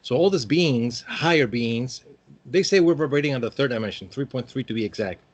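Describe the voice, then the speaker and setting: A man in his fifties from Peru. He sits as a guest in a relaxed interview, and his voice sits at an ordinary middle pitch. He rambles along with plenty of "um"s and restarts. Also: fairly quick. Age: 50s. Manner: rambling, hesitant, many fillers. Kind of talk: casual interview talk.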